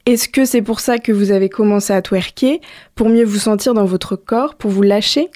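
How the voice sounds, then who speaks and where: Mellow-voiced woman hosting a radio show. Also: medium pitch, moderate pace. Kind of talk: reflective scripted narration, then interviewing. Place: radio studio.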